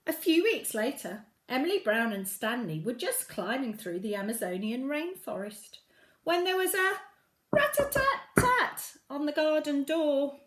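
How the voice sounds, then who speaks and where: A woman's voice: sits high, very animated, a little slow, a bit bright, low-quality recording. A woman reading a children's story aloud, expressively, with dramatic emphasis on key phrases. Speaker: storybook reader at a primary school.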